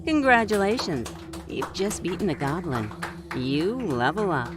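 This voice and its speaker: A woman acts out a gleeful madwoman who rules a cruel real-life game in a story. Her delivery is theatrical and taunting, with very animated pitch on the low side. Music plays clearly behind her. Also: unhurried. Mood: mock congratulation. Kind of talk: dramatized story dialogue.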